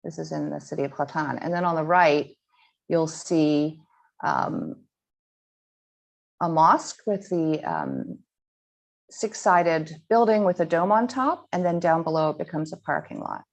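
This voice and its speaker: Woman guest speaker, slightly lower voice, earnest, fumbles and restarts while walking through slides. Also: sing-song, vocal fry. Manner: earnest, halting with frequent ums and restarts. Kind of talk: online slide presentation to a school audience.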